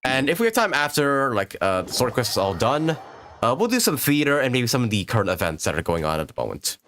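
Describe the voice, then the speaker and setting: A man's voice: medium pitch, big pitch swings, fast talker, a bit bright, slight background noise. A man chatting casually while livestreaming a video game, hesitant and rambling as he thinks aloud. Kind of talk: casual gaming livestream chat. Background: video game music.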